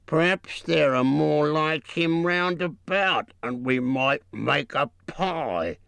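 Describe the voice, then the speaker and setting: A man's voice: on the high side, lively intonation, slow pace, fairly bright tone, dry recording, voice pushed up, heavily acted. A man voicing a quarrelsome, suspicious male troll who bullies in coarse slang. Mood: menacing. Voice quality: coarse, bullying, rough slang.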